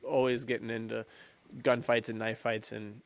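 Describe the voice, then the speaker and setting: A man with a medium-pitched, creaky voice talks as a podcast co-host. He sounds easygoing and informal, with plenty of vocal fry as he chats about films. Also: flat delivery, normal speed. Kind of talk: casual film discussion chat.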